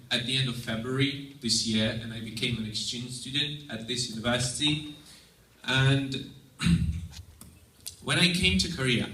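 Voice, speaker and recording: A young man with a Russian accent, a native Russian speaker, delivers an earnest, measured prepared talk and sometimes restarts a sentence. His voice sits at an ordinary pitch. The room is echoey and he stands some distance from the mic. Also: sharp tone. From Estonia. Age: young adult. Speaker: exchange student giving a presentation. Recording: roomy sound, mic at a distance.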